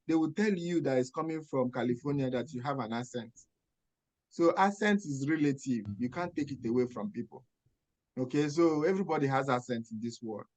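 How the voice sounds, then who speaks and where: A man's voice: medium pitch, a little mellow, rough recording. A man with a Nigerian accent, a nurse mentor giving advice on a video call and stressing points with checks like "Okay?". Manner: emphatic, checks in with "Okay?" and rhetorical questions.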